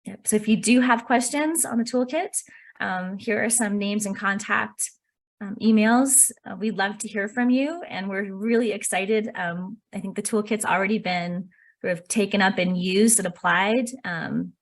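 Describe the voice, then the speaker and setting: A woman presenting on a video call, talking in a measured, earnest way with frequent "um" fillers. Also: mid-range voice. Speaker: workshop presenter. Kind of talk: webinar presentation. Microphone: computer mic on a video call.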